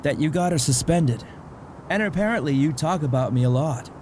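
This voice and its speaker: A man's voice: fairly high pitch, expressive, moderate pace, darkish tone, a little hiss or hum, lightly acted. A man playing a blunt, nosy but caring father in a comic dub, probing and scolding. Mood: offhand. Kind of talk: amateur comic dub voice acting.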